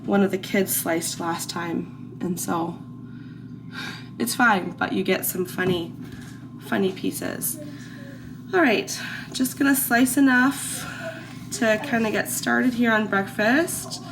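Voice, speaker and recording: A woman's voice: medium pitch, takes it slowly, long pauses. A woman in her thirties with slight congestion from a cold, chatting casually in a cooking vlog at home. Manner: chatty and rambling, with many "like"s and restarts. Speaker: vlogger mom cooking for her family. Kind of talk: casual cook-with-me chat. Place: home kitchen, indoors. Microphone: camera set in a kitchen cupboard. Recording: clear background room noise.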